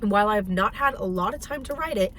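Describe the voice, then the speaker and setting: A woman's voice: mid-range voice, animated, quick pace, a bit bright. A woman in her twenties chatting casually and emphatically while driving, blunt and swearing freely. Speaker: fitness vlogger. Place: in a car. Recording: faint car noise behind.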